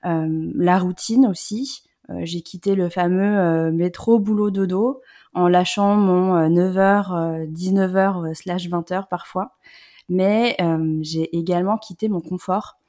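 A woman in her thirties from the Rhône-Alpes region, telling her personal story as an interview guest in a reflective way, often pausing with "euh". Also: on the low side, steady tone, warm tone. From Rhône-Alpes, France. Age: 30s. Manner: reflective, many hesitant "euh" fillers.

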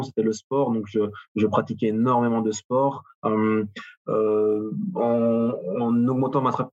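Husky Belgian man, muffled sound. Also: medium pitch, a little mellow. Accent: Belgian French. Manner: hesitant, many fillers and restarts. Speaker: guest, personal trainer and gym owner. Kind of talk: casual interview answers.

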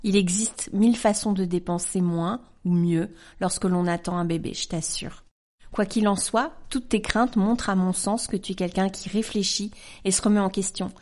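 Gentle woman in her forties reading. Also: mid-range voice, steady tone, normal speed. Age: 40s. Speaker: podcast host. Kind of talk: scripted reading of a letter and her reply.

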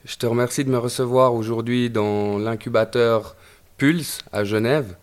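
A man hosting a podcast, reading a scripted intro and then asking a guest clear, structured questions. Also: mid-range voice, fairly even intonation, normal speed. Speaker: podcast host. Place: startup incubator office.

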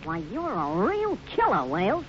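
An actor playing a rough, slow-witted outlaw henchman in a radio western, with blunt, uneducated, griping speech. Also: high voice, huge pitch swings. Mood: impressed, sarcastic. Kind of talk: 1950s radio western acting. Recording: muffled, noisy 1950s radio recording.

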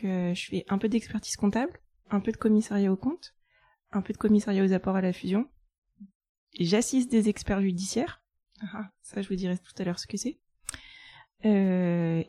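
30s french woman guest, chill and chatty, dark mellow voice, dry sound. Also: mid-range voice, dry recording. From France. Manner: relaxed, chatty, with fillers. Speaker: guest, chartered accountant. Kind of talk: casual interview.

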